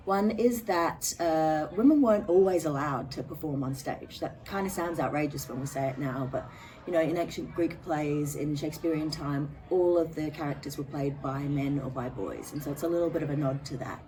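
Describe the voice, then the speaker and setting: A woman, a drama teacher, explaining things clearly in an interview. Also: low-pitched, normal speed, warm tone. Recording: distant mic with background noise.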